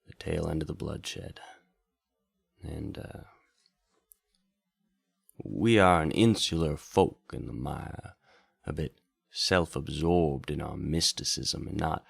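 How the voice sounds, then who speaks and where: Man playing a deep gravelly wandering bard. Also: fairly bright tone, dry recording, clean studio sound, heavily acted. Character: wandering bard radio host from a bayou, reflective, nostalgic storyteller. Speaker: radio drama host and storyteller. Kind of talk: slow intimate late-night radio storytelling.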